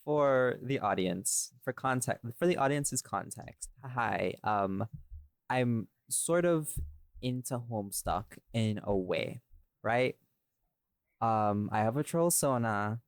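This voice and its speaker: A man's voice: medium pitch, lively intonation, slow pace, smooth, dry recording, studio quality. A man hosting a casual podcast discussion, rambling and thinking aloud as he goes. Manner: rambling, thinking aloud, often checks with "right?". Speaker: podcast host and audio series creator.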